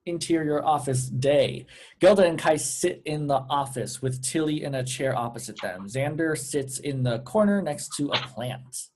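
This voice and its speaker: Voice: medium pitch, animated, normal speed, darkish tone, slight background noise. Someone narrating script stage directions at a table read.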